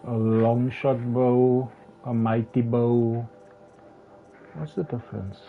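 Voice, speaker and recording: Hoarse man, game streamer, slow halting talk, tinny phone-like audio. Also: mid-range voice, steady tone. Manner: halting, many restarts and fillers. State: slightly hoarse. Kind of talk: live gameplay commentary. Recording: tinny phone-like sound with faint music.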